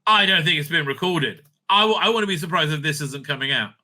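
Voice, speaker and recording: Man, high-pitched, piercingly bright, excitable, stammering, sweary, off-the-cuff opinionated commentary. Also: fast talker. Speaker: host of a fan news commentary video. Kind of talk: unscripted, profane opinionated commentary.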